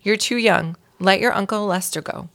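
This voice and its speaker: A fretful mother mouse from a storybook, played by a woman reader, pleads with great drama. The voice lifts above the reader's low register and presses urgently. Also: normal speed, fairly bright tone, natural voice. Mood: alarmed, pleading.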